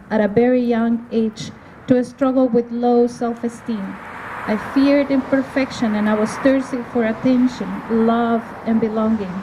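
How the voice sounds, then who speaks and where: A middle-aged woman from El Salvador giving an earnest, measured testimony at an outdoor evening rally, pausing between phrases. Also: a little high, warm tone, muffled recording. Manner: earnest, measured delivery with pauses. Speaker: rally speaker. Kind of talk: prepared testimony speech. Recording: outdoor recording with clear ambient noise.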